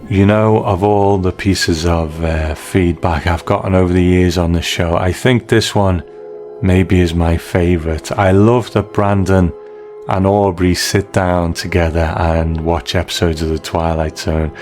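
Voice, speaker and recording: A man's voice: deep voice, steady tone, measured pace, darkish tone, faint music behind. A man hosting a podcast, talking conversationally and appreciatively to his listeners. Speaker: podcast host. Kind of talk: podcast listener feedback and sign-off.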